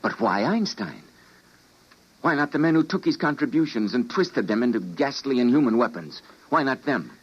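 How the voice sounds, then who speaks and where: An actor playing an earnest, principled doctor with a dramatic delivery in an old-time radio drama. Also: male voice, mid-range voice, very animated, normal speed, husky. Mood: appalled, protesting. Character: earnest, principled male doctor, troubled and indignant. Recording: muffled vintage radio drama recording.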